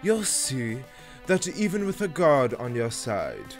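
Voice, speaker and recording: A man's voice: mid-range voice, wildly swooping pitch, slow pace, faint music behind, exaggerated voice. A man playing an arrogant, vengeful villain in a fantasy audio drama, with a haughty, menacing delivery. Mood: bored contempt. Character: arrogant vengeful male villain, sneering and theatrical.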